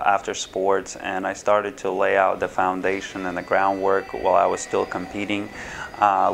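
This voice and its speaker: Low-voiced man in his thirties, flat delivery. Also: vocal fry, slight background noise. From Ukraine. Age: 30s. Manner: hesitant, many fillers and restarts. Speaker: swim school owner. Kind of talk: answering interview questions to camera. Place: small office indoors. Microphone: camera framed at chest height, seated.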